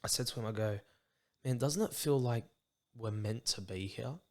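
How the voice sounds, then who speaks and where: A man's voice: medium pitch, moderate pace. A young Australian man hosting a podcast, chatting in a laid-back, earnest way and calling his guest bro and mate. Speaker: podcast host. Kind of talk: casual chat.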